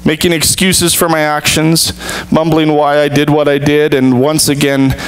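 A man in his thirties, a pastor, preaching an earnest sermon with measured, deliberate pauses from a church stage. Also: a little high, level tone, slight background noise, poor audio.

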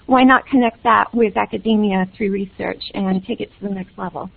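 A woman's voice: fairly even intonation, fairly quick, vocal fry, husky. A woman librarian giving an upbeat, enthusiastic webinar presentation over a phone-like connection. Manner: upbeat, enthusiastic sales-pitch delivery.

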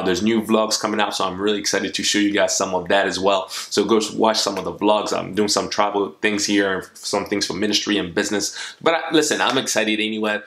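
A man's voice: lowish voice, a bit bright. Upbeat young man from Congo, roomy sound. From Democratic Republic of Congo. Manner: upbeat, encouraging, speaks straight to the viewer. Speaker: motivational YouTuber. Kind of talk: direct-to-camera advice vlog. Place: small room at home, indoors. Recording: echoey small room.

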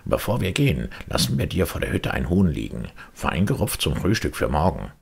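Thieving marten, acted by a man, storybook, chummy, wheedling, gravelly, animated. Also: rumbling low, brisk, exaggerated voice. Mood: sly, persuasive. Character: smooth-talking thieving male marten, sly and chummy.

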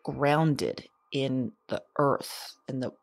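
A woman playing a lonely, haunted character who keeps an audio journal in a drama, with a halting, intimate, confessional delivery. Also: a bass voice, gravelly, no room sound, full character voice. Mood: wondering. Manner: halting, hesitant, hushed reflective delivery.

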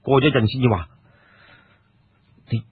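A man's voice: fairly high pitch, big pitch swings, moderate pace, slightly rough. A man from narrating a historical adventure tale as a storyteller. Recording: muffled phone-like radio sound.

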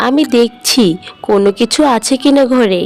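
A woman voicing a poor, kind village mother in a fairy-tale cartoon, gentle and earnest with a sorrowful edge. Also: on the high side, moderate pace, faint music behind, natural voice. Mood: kind, respectful.

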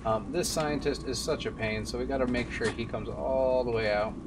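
A young man gives casual, explanatory gameplay commentary on a stream. His voice sits at a middle pitch, a bit away from the mic, with game sound clearly behind it. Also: fairly even intonation, moderate pace, far from the mic, background noise. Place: indoor room at a streaming desk. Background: game sound and music.

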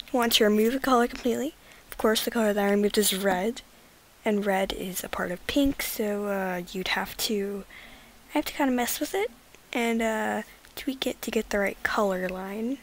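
Raspy young woman, casual tutorial. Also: a little high, slight background noise. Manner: rambling, slips into whispers. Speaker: gaming video creator. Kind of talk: casual screen-recorded editing tutorial.

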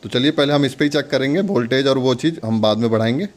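A man's voice: mid-range voice, quick pace, faint music behind. An Indian man giving a casual step-by-step product demonstration, instructing viewers in a friendly, direct address.

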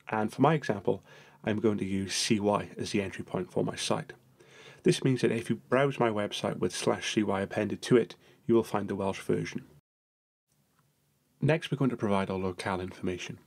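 Low gravelly man narrating a tutorial. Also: moderate pace. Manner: steady, explanatory, step-by-step.

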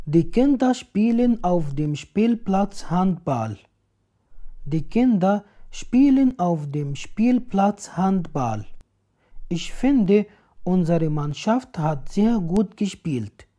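A woman reads out short sentences at a very slow, careful pace, each word clearly spaced. Her voice is soft and mellow, sitting a little low. She sounds like a teacher recording a voice-over. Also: lively intonation. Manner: slow, deliberate, clearly enunciated. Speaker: language teacher. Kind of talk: slow read example sentences, each twice.

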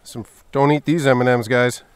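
A man in his thirties chatting excitedly to viewers while ice fishing outdoors on a snowy lake, recorded on a camera mic. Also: medium pitch, a little fast, smooth, no echo. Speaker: fishing vlogger. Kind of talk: casual vlog narration.

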